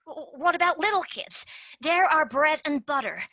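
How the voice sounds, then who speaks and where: A woman's voice: high voice, animated, moderate pace, pushed and bright, dry recording, heavily acted. A woman playing a casual, earnest tooth fairy field agent in an amateur radio play. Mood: upset, pleading.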